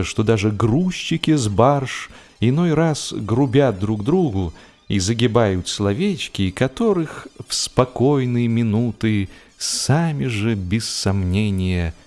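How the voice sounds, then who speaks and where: A man narrating humorous literary prose in an expressive, measured storytelling manner with deliberate pauses. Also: medium pitch. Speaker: audiobook narrator. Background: music.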